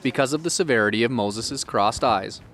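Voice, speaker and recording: A man delivers scripted narration for a local television special. His voice sits at an average male pitch, and he reads as the narrator of a human-interest feature. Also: a little fast, a bit bright, faint music behind.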